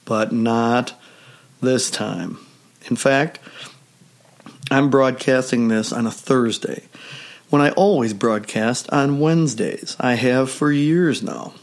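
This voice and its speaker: A man with a rough, hoarse voice left over from a cold, speaking at a middle pitch. He hosts a solo podcast, talking to his listeners in a steady, measured way with deliberate pauses. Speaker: podcast host. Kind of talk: solo health podcast monologue.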